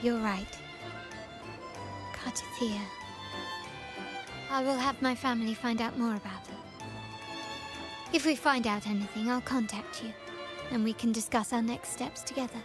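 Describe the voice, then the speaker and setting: A woman's voice: fairly high pitch, fairly even intonation, unhurried, pauses often, close to an everyday voice. A woman voicing a poised, caring young noblewoman in a video game, composed, courteous and assured. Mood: composed, resolute. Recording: music clearly behind the voice. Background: background music.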